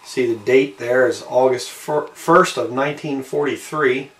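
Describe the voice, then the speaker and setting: A man giving a casual show-and-tell narration, unhurried and halting, often trailing off between short phrases. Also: medium pitch, fairly even intonation, fairly bright tone. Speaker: amateur video maker showing a collectible. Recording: roomy, distant mic with faint background noise.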